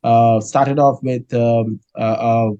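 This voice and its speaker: A middle-aged man from Kolkata with an Indian English accent, answering interview questions in a measured way with many filler sounds. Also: medium pitch, little pitch movement, warm tone, clean tone, no room sound, lo-fi sound. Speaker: guest, dairy business head.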